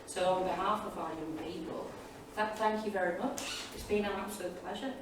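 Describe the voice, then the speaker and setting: A woman on a panel speaking informally and reflectively, in an appreciative, measured manner. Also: medium pitch. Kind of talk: informal, reflective spoken tribute. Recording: distant, very echoey, noisy room pickup.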